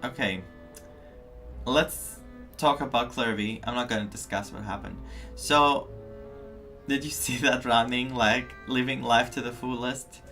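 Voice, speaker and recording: Man, live game commentary, slow pace, musing reactions, medium pitch, soft background music. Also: steady tone. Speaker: game streamer. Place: indoors, live stream with game audio.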